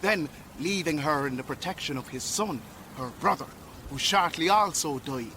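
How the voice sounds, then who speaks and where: An actor playing a plain-spoken, loyal sea captain in a Shakespearean radio drama, measured and explanatory. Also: male voice, fairly high pitch, lively intonation, pushed and bright, slight background noise. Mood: informative.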